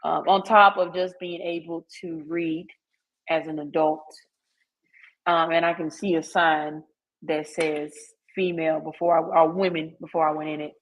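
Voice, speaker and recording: Woman podcast host, casual and candid, a bit low in pitch, lo-fi sound. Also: little pitch movement, moderate pace. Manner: chatty and frank, with laughs and filler words.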